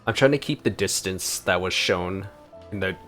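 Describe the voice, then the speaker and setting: A man commentates casually over a video game as a let's play host. His voice sits fairly low, and soft music plays faintly behind him. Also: moderate pace.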